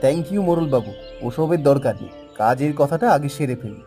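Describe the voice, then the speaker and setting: A man's voice: medium pitch, expressive, brisk, lightly acted. A man voicing a rich, demanding city businessman who talks in a businesslike way, sprinkling in English words and hesitant "ah"s, in a cartoon story. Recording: soft background music.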